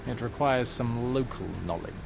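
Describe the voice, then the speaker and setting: Casting: man to play an authoritative, aloof British gentleman of Victorian times for a game, medium pitch, guarded and formal, background noise. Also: moderate pace, lightly acted. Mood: cool, guarded. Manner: clipped, formal, commanding. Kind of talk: video game cutscene acting. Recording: clear background ambient noise.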